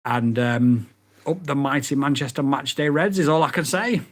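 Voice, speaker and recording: A man with a croaky, hoarse voice, hosting a casual livestream and chatting excitably with viewers. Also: mid-range voice, normal speed. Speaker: livestream host.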